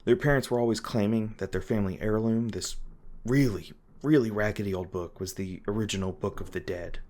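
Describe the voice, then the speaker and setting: A man playing a haunted monster hunter in an intimate audio roleplay, guarded but remorseful, confessing his painful past in a halting, hesitant way. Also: fairly low pitch, darkish tone, lightly acted. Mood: melancholy, reminiscing.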